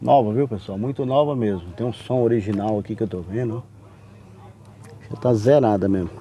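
Man from brazil, car salesman, mellow voice, folksy chatty pitch, outdoor lot, camera mic. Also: normal speed, slight background noise. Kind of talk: walkaround sales vlog. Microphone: handheld camera mic, filming.

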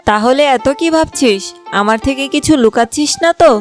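A woman voicing a caring but scolding village mother in an animated fairy tale. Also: sits high, a little fast, soft background music, lightly acted. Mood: worried.

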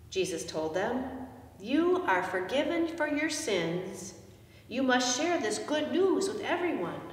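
Echoey, high-voiced woman pastor. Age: middle-aged. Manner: animated, simple explanatory delivery with questions to children. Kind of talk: children's message, storytelling from a picture book. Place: indoors in a church, seated before the altar. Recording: echoey church, distant microphone, noisy background.

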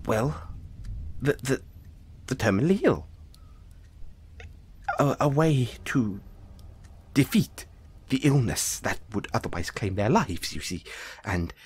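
A man playing an anxious, apologetic dollmaker in an audio roleplay, stammering and halting as he speaks to the listener. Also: medium pitch, slight background noise. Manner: stammering, halting, flustered, placating. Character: anxious, apologetic male dollmaker who dabbles in soul magic.

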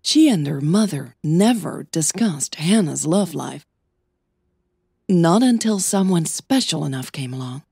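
A woman's voice: low voice, lively intonation, measured pace, creaky, dark tone, husky, dry recording, studio quality. A woman reading scripted fiction as the narrator of an audio drama podcast.

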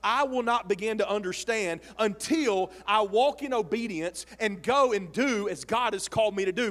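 A man in his forties preaching a sermon on a church stage into a handheld mic, animated and emphatic, rising to shouts. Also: very high voice. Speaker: pastor. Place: large church sanctuary stage with audience. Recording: long hall reverb.

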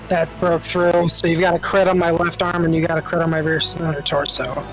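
A man's voice: on the high side, flat delivery, brisk, music in the background, low-quality recording. A man chatting casually as a player in a tabletop game.

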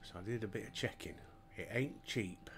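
A man's voice: on the low side, expressive, normal speed, vocal fry, fairly bright tone, a little hiss or hum. An older man with a Yorkshire accent, chatting casually to himself and his viewers while playing a game, recorded on a headset mic at home. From Yorkshire, England. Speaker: gaming streamer. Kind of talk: relaxed gameplay commentary.

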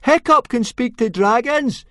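A man's voice: sing-song, normal speed, a little mellow, dry recording, clean studio sound. A man voicing an eager, insistent young Viking boy in a children's storybook. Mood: urgent, insistent. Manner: high-pitched, insistent.

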